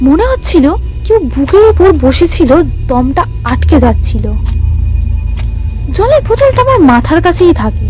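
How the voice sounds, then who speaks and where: A woman narrating a first-person story in a suspenseful, expressive, theatrical way. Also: very high voice, low-quality recording. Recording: music bed under the voice.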